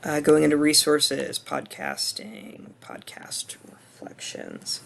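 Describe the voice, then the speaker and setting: A man in his twenties with a hoarse voice, talking through a course screencast reflection in a casual, hesitant way full of ums and restarts. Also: fairly high pitch, sing-song, slow pace, a bit bright, slight background noise. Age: 20s. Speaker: student.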